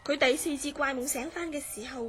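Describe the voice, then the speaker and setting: High-voiced earnest woman, acted telling eerie tales. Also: fairly quick, pushed and bright, faint music behind. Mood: grave recounting. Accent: Hong Kong Cantonese. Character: earnest woman recounting a friend's eerie dreams. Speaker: radio drama actor. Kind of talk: radio drama dialogue, recounting a strange story.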